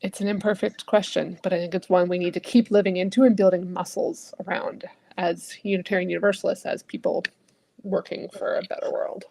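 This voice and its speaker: A woman minister speaking in a thoughtful, searching way, often trailing off softly at the ends of phrases. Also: lowish voice, flat delivery, fairly quick, creaky. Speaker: Unitarian Universalist minister. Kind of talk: reflective conversational talk.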